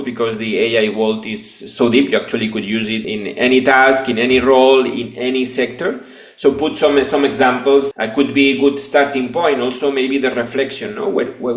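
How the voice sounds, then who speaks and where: A man hosting a live webinar over a video call, his speech halting and full of restarts and a questioning "no?". His voice sits at a middle pitch and carries an echo from the room he is in. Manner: halting, frequent restarts and tag "no?". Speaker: webinar host and business coach. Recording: echoey room.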